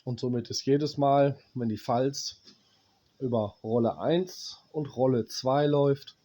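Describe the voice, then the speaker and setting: Blunt German repairman with a cold, slow. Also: medium pitch, dark tone. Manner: blunt, plain-spoken, swears at cheap gear; pauses while working, talks to himself as he checks parts. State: has a cold, coughing. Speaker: appliance repairman. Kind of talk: hands-on repair explanation.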